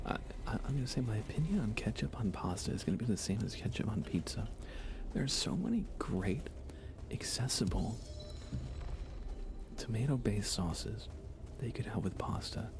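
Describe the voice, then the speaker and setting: An American man speaking in soft, breathy whispers, chatting casually and unhurriedly to viewers of an ASMR livestream. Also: lowish voice, animated, background noise. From United States. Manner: hushed, unhurried, conversational.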